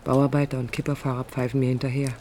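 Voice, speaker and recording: A woman's voice: a bass voice, level tone, fast, warm tone. An actress playing a proud, idealistic young woman architect in a radio drama, articulate, combative and ironic. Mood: observant, reflective. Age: young adult. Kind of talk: radio drama acting with interior monologue. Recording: 1980s radio play with background noise.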